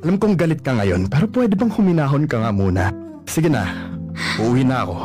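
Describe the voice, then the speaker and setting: An actor playing a jealous, anxious young boyfriend in a radio drama, pleading and rising in pitch when upset. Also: male voice, very animated, brisk, a little mellow, lightly acted. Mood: calming. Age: young adult. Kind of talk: Filipino radio drama acting. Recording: music bed under the voice.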